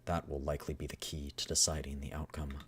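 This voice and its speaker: Male streamer, low register, expressive narrator of a game's story, measured delivery. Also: slightly rough. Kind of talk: dramatic scripted narration, reading a game story aloud.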